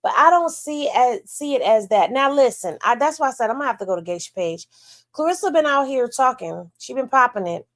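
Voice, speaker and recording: A woman's voice: high-pitched, lively intonation, fairly quick. A woman hosting a livestream, chatting casually in with a drawling, folksy way of gossiping. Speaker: livestream host.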